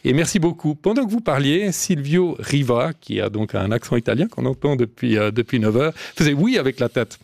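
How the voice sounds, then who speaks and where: A man hosts a talk show from the radio studio, introducing guests and taking calls. His voice sits at a middle pitch, recorded close and dry. He stumbles a little over his words as he goes. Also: animated, fairly quick, vocal fry, hardly any pauses, a little mellow, no echo. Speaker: radio host. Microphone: studio microphone.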